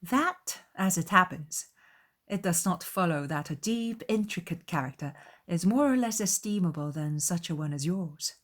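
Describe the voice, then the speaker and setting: A woman's voice: fairly low pitch, animated, moderate pace. A woman voicing a witty, self-possessed young lady in a dramatized audiobook, playful and articulate. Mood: teasing.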